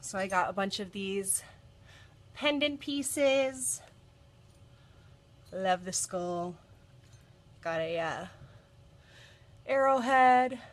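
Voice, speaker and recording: A woman's voice: medium pitch, animated, very slow, pauses often, slight background noise. A woman in her thirties hosting a casual live stream from a cluttered office, a bit congested from a cold and chatty with lots of ums. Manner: chatty, many ums and restarts. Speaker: bead shop video host. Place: cluttered office workroom, indoors.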